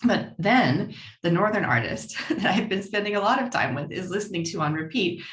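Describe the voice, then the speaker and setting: A middle-aged woman, a senior research fellow, introducing herself at a roundtable with a warm, enthusiastic manner and some restarts. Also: medium pitch, expressive, moderate pace, echoey room.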